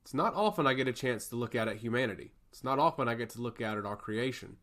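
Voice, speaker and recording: A man's voice: mid-range voice, lively intonation, fast, fairly bright tone, close to an everyday voice. Man voicing a solemn, earnest goddess of law. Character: solemn, curious goddess of law, weary judge of souls.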